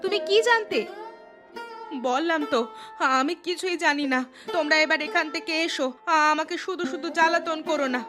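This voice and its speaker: A woman playing a sharp-tongued, argumentative village woman in a cartoon, with indignant delivery. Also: extremely high pitch, normal speed, over music. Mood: defensive, annoyed.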